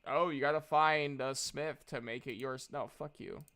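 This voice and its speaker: A man's voice: mid-range voice, fairly even intonation, normal speed, fairly bright tone. A man running a tabletop game as game master, talking in a casual, easygoing, sweary way.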